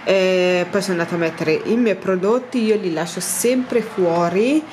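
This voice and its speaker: A woman's voice: mid-range voice, moderate pace, a little mellow, slight background noise. A woman in her thirties, a cleaning vlogger, chatting loosely with fillers and restarts as she tidies her home bathroom, recorded on a tripod camera. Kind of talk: casual clean-with-me narration. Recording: echoey tiled bathroom.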